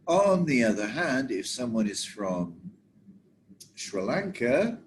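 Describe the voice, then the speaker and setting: A man's voice: on the low side, a little hiss or hum. A middle-aged man giving a training lecture to travel agents, talking conversationally and often checking in with his listeners.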